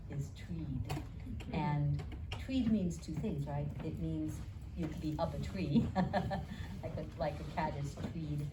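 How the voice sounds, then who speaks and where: A woman from New York City with a New York accent, an educator and author thinking aloud and telling stories with frequent fillers and restarts in a conversational interview. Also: low-pitched. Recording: distant mic with clear background noise.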